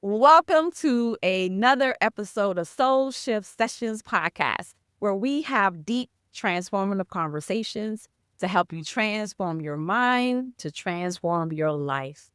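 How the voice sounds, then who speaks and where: A woman's voice: medium pitch, lively intonation, takes it slowly, a bit bright, smooth, dry recording, studio quality. A woman hosting a podcast as a coach, upbeat and promotional, posing rhetorical questions to listeners. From Mexico.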